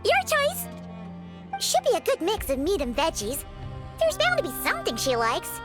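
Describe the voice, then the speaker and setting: A woman voicing a small, chirpy, excitable female fairy companion in a video game, with a squeaky childlike voice and exclamatory manner. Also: moderate pace, over music, full character voice. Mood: eager, cheerful. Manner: refers to self in third person, exclamatory. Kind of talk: video game cutscene voice acting.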